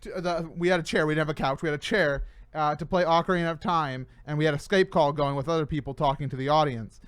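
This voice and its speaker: Lively high-voiced man hosting a chat. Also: quick pace, clean tone, dry recording. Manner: animated, stammering restarts. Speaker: host. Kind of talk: casual podcast chat.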